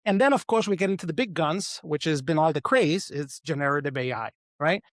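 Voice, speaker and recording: A man's voice: on the high side, lively intonation, brisk, fairly bright tone, dry recording, clean studio sound. A middle-aged man from El Salvador hosting a technical webinar, explaining concepts with enthusiasm and frequent check-ins like "right?" and "okay?". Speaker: webinar host and presenter.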